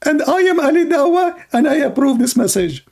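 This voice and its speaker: A man mimicking a preachy male debater in a high, slow, sing-song mocking voice. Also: animated, vocal fry, darkish tone, full character voice.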